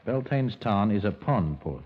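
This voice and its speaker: An actor playing a patient, gruff police sergeant in a 1950s radio crime drama, terse and steady. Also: male voice, lowish voice, measured pace. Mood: patient, prompting. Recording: dull, band-limited 1950s radio recording.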